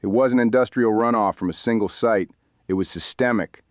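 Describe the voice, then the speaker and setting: Actor as a grave, deliberate male researcher; low voice, dry recording. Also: no echo, lightly acted. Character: serious man, community researcher, grave, weary. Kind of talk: audio drama acting.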